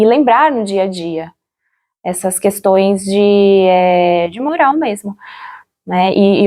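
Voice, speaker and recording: A Brazilian woman giving an interview, recalling her experience in a reflective, halting way, searching for words and often checking for agreement. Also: mid-range voice, a little mellow. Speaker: interviewee, former radio drama actor. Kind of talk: interview, personal recollection.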